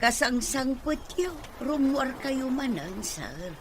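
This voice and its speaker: A woman's voice: high voice, big pitch swings, slow, background noise, full character voice. A woman with an Ilocano accent playing a respectful, inquisitive household maid in an audio drama serial. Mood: dutiful.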